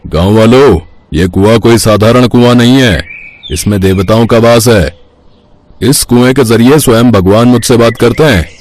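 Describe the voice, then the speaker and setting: A man's voice: medium pitch, normal speed, darkish tone, close to an everyday voice. A man voicing a greedy, bullying village headman in a moral story cartoon, with a domineering, sly manner. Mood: grand, proclaiming. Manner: domineering, demanding.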